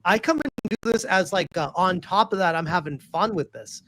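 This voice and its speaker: A man's voice: sits high, moderate pace, fairly bright tone, low-quality recording. A man who streams, a guest on a podcast panel, who talks casually and swears freely.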